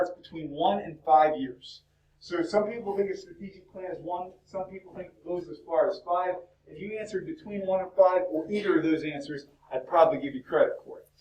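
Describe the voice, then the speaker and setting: A male instructor lecturing a university class in a lively, chatty way, peppering students with questions, his voice cracking. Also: high-pitched, muffled recording, a little hiss or hum. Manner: talkative, upbeat, fires questions at the class. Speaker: business instructor. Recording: echoey classroom from far off.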